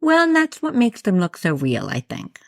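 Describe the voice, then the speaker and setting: A woman's voice: mid-range voice, huge pitch swings, moderate pace, no room sound, studio quality. A woman hosting a casual interview, chatting with her guest and asking questions. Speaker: podcast host, interviewer.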